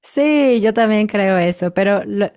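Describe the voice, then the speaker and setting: A woman's voice: medium pitch, expressive, clear, resonant tone, dull audio. A woman in her thirties with a Mexican Spanish accent, a guest chatting thoughtfully in an interview. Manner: thoughtful, measured, with soft laughs. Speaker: podcast guest, Spanish teacher. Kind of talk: casual interview chat.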